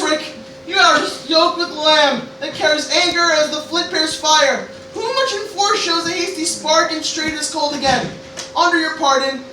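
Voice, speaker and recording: A woman's voice: high-pitched, sharp tone. A woman playing an anxious teenage grandson who declaims stammering Shakespearean lines in a comic stage parody. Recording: very echoey room, distant mic, noisy.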